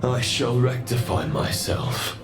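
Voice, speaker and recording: An actor playing a solemn fallen knight in a video game, speaking in formal, grandiose pronouncements. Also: male voice, mid-range voice, lively intonation, takes it slowly. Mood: grave, condemning. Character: fallen knight, solemn imperfect image cast by the Abyss. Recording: echoing voice under music.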